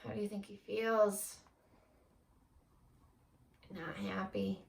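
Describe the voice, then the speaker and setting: A woman's voice: mid-range voice, level tone, slow, gravelly, reverberant, rough recording. A woman teacher doing an interactive read-aloud for children, prompting them with questions and encouraging them.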